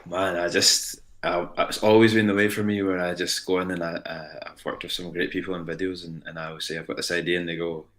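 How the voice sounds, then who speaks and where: A man with a low voice that has a bright, forward edge chats easily in an interview. He rambles and restarts his sentences in a relaxed way. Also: fast talker, hardly any pauses. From Ayrshire, Scotland. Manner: rambling, self-interrupting, easygoing. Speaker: musician, band frontman. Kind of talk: casual interview chat.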